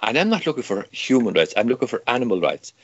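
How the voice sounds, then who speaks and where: A man's voice: medium pitch, sing-song, quick pace, breathy edge, no room sound, lo-fi sound. An older man from Kerry with an Irish accent, a native Irish speaker talking in English, arguing emphatically in an interview with many stammered restarts and rhetorical questions. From Ireland. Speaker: guest, campaigner. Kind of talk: impassioned interview argument.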